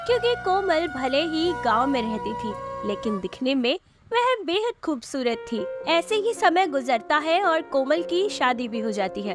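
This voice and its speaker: High-pitched woman narrating a story. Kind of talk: scripted moral story narration.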